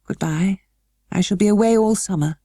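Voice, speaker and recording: A melancholy, worried gentleman guardian, acted by a woman storybook reader. He speaks slowly and haltingly in a gravelly, dark, weary voice, stiff but kind, recorded close and dry. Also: mid-range voice, no room sound, pitched above the performer's own voice, natural voice. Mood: soft, sad. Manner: slow, halting, worried, well-spoken.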